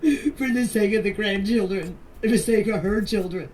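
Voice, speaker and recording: A woman's voice: mid-range voice, moderate pace, darkish tone. An older woman making an emotional personal statement in voice messages, plain-spoken, pleading and insistent. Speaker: grandmother answering questions in a group voice chat. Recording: distant, noisy amateur voice-message recording.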